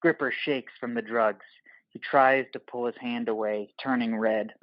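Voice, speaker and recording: Male narrator, medium pitch, terse scripted stage directions, dry, slightly dull recording. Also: fairly even intonation, normal speed, dry recording. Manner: terse, clipped screenplay phrasing.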